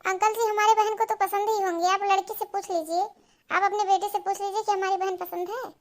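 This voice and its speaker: A woman's voice: very high-pitched, flat delivery, fairly quick. A woman voicing a bossy young elder sister in a comedy cartoon. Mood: polite. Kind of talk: Hindi comedy cartoon dubbing.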